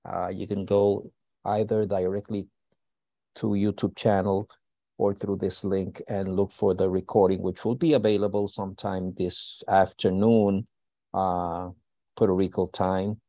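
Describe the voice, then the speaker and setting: Man, low voice, mellow tone, halting announcements, many uhs, dry sound. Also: little pitch movement, no room sound. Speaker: host and school founder. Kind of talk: housekeeping announcements. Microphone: video call.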